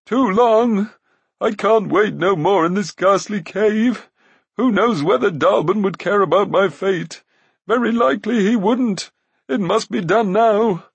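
Self-pitying storybook giant, high husky whine, pleading, babbling excuses, cowardly. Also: male voice, a little slow, no echo, exaggerated voice. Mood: moaning self-pity.

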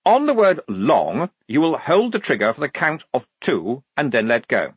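A man's voice: medium pitch, wildly swooping pitch, normal speed, slightly rough, dry recording, dull audio, voice pushed up, exaggerated voice. A man voicing a prim, pompous lieutenant in an audiobook, proud of his own cleverness, with pedantic, clipped, officious delivery. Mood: brisk, officious.

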